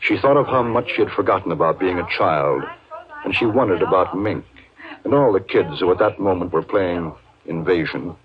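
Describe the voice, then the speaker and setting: An actor playing a measured, wistful storyteller narrating a 1950s radio drama. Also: male voice, low-pitched, a crowd in the background, close to an everyday voice. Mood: reflective. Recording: muffled, noisy 1950s radio recording.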